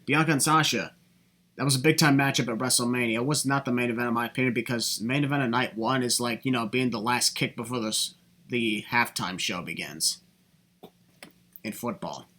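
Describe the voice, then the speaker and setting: A man's voice: medium pitch, fairly quick, sharp tone. A young man hosting a casual podcast discussion, talking emphatically and circling back to the same phrase to hold the floor. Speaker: podcast host.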